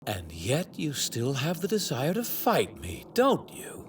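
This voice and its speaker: An actor playing an arrogant, righteous god villain in an anime dub, with pompous, theatrical, formal delivery. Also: male voice, fairly high pitch, wildly swooping pitch, measured pace, slight background noise. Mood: taunting. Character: arrogant male god villain, righteous zealot who despises mortals. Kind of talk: dubbed anime villain acting. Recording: sound effects bleeding behind voice.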